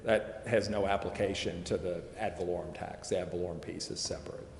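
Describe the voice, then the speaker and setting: A man explaining finance details at a city committee meeting, measured and full of fillers and restarts. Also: low voice, steady tone. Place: council chambers. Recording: echoey chamber with long reverb, faint noise.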